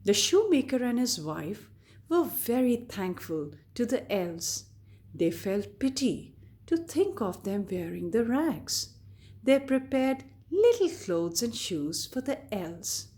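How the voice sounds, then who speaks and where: A woman storyteller reading a scripted fairy tale to children in a deliberate, expressive way. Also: a little high. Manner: deliberate, expressive read-aloud delivery addressed to children.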